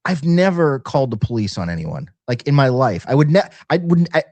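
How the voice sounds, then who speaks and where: A man talking casually as a guest on a talk show, somewhat halting as he restarts his phrases. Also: medium pitch, very animated, darkish tone. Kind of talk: casual chat.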